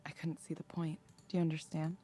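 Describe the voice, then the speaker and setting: An actress voicing a weary, guilt-ridden young woman in a visual novel game, speaking in a hushed, confessional way. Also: low voice, level tone, fairly quick, close to an everyday voice. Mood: regretful, sorrowful. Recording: soft music behind the voice. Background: game music.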